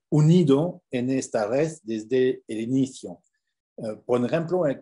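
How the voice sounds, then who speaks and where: A man with a French accent speaking Spanish as a conference presenter on a video call, halting with many repeated words and fillers. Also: medium pitch, warm tone. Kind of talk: academic Q&A over video call.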